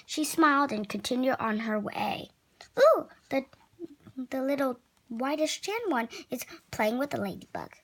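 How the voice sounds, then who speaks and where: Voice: sits high, lively intonation, unhurried, fairly bright tone. A reader narrating a picture book aloud expressively, with playful asides. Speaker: children's story reader.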